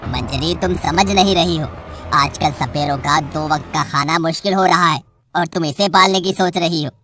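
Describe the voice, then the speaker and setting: A gruff grumbling snake-charmer man. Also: low-pitched, normal speed, a bit bright, faint music behind. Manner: blunt and irritable, grumbling to himself. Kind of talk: cartoon voice acting.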